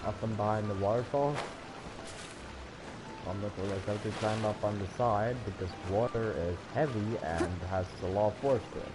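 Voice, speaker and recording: A man's voice: on the low side, moderate pace, noisy background. A man livestreaming a game with casual commentary and wry asides to himself. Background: game music.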